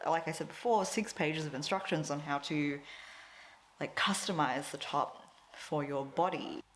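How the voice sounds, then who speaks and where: Young woman, tagalog speaker, low voice, chatty rambling vlog talk. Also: measured pace, a bit bright, slight background noise, low-quality recording. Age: 20s. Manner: chatty and rambling, many fillers, laughs often. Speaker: crafting vlogger. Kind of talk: casual chat to camera.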